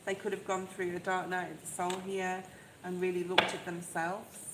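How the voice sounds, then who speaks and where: Soft-voiced woman reading tarot. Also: lowish voice, flat delivery, normal speed. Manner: chatty, reassuring, frequent "okay?" check-ins. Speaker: tarot reader. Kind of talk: live-stream tarot reading. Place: outdoors in the rain. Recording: echoey, with loud rain noise. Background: rain noise.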